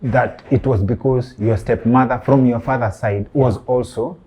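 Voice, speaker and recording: A man's voice: medium pitch, steady tone, moderate pace, mellow, breathy edge. A man hosting a podcast interview, asking probing questions and pressing his points. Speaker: podcast host.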